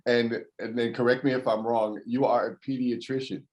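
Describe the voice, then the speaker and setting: A man's voice: medium pitch, flat delivery, normal speed, a little hiss or hum. A man hosting an interview show, chatty and friendly, laughing often. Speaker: host.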